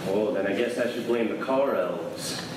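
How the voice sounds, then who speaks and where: A man's voice: fairly low pitch, normal speed, close to an everyday voice. An actor playing a worried, stern middle-aged father in stage musical dialogue, scolding and earnest. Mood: sarcastic scolding. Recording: echoey, noisy theatre recording from far off.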